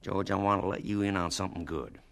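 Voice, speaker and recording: An actor playing a gruff but kindly farmer and boss in a radio drama, blunt, folksy and emphatic. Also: male voice, sits low, fast talker, a bit bright. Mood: earnest, confiding.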